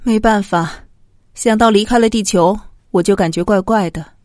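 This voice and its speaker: A woman's voice: medium pitch, moderate pace, a little mellow, dry recording, clean studio sound, lightly acted. An actress playing an uneasy, homesick mother in a sci-fi audio drama. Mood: wistful.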